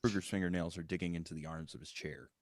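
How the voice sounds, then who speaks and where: A man's voice: deep voice, steady tone, fast talker, no room sound, studio quality. A man narrating stage directions at a screenplay table read, in scripted narration.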